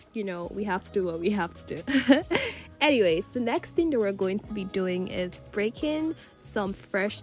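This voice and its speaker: A woman from Nigeria, congested from a cold, talking casually through a cooking voice-over with lots of fillers. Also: mid-range voice. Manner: chatty, relaxed, many fillers like "you know". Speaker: cooking channel host. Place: voice-over recorded separately from the kitchen footage.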